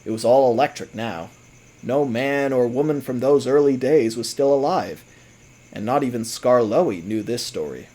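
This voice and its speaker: A man narrating a scripted audio drama as a storyteller, with theatrical, deliberate phrasing. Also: medium pitch, mellow, low-quality recording.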